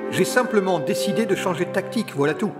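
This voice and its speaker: A suave, condescending evil scientist in his forties, played by a man, speaks in formal, smugly courteous phrases. The voice sits a little high for a man, with prominent music behind it in audio drama style. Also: fast, close to an everyday voice. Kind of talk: scripted audio drama acting.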